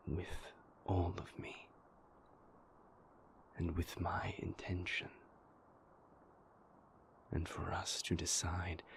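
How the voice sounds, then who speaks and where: A man performs a remorseful vampire boyfriend in an intimate audio roleplay. His deep voice sinks into a gravelly, breathy half-whisper. He is earnest and guarded, placing each phrase slowly and carefully, with long pauses. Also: exaggerated voice. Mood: tender, hopeful. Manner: halting, deliberate, measured phrasing with long pauses.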